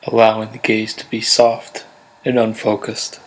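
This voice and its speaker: A man guiding a body scan meditation in a gentle, unhurried, measured way, leaving pauses between instructions. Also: lowish voice, monotone, rough recording. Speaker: meditation guide. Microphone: close USB condenser microphone.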